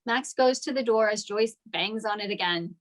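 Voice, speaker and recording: A woman reads scripted stage directions as a narrator. Her voice sits at a medium pitch. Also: normal speed.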